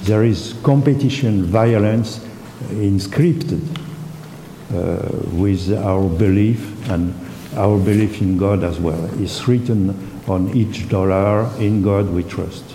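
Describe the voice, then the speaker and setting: A man with a very dark, low-set voice speaks slowly and haltingly, restarting his sentences and filling gaps with "uh." He comments as a panelist. His voice echoes around a large hall, with a faint hiss underneath. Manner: halting, heavy with fillers and restarts. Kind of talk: hesitant spontaneous remarks. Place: conference hall.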